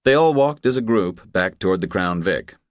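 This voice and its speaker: A man narrates a thriller audiobook in a deep voice. His pitch moves in an animated way through the scripted prose. The sound is close and dry, with no room on it. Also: normal speed, no room sound.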